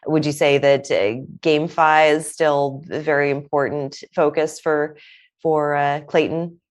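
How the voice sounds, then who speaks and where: A woman's voice: deep voice, steady tone, measured pace. A woman interviewing a guest, asking curious questions as a layperson.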